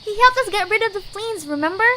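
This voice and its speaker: A very high, piercingly bright young cartoon creature for an audio drama. The character is plucky, blunt and easily exasperated, with a wry edge. Faint night insects sit behind the voice. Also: female voice, animated, fast, slight background noise, full character voice. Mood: matter-of-fact.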